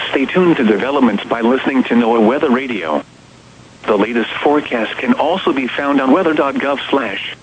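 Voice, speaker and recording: Over a muffled radio signal with faint noise comes a synthetic American man's voice at a middling pitch. He works as an automated weather announcer, reading scripted bulletins in short, formal segments. The delivery is measured and impersonal. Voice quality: synthetic text-to-speech voice. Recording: dull, noisy weather radio broadcast.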